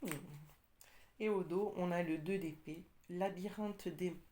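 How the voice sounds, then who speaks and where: A woman with a slight cold doing a casual tarot reading, talking hesitantly with many pauses and fillers. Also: fairly low pitch, steady tone, dark tone. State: has a cold, slightly congested. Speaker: tarot card reader.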